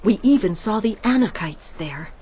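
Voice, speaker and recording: A woman's voice: mid-range voice, expressive, normal speed, slightly rough, muffled recording. An actress playing a fearful, bitterly grumbling Israelite woman in a dramatized scripture reading, complaining in anguish.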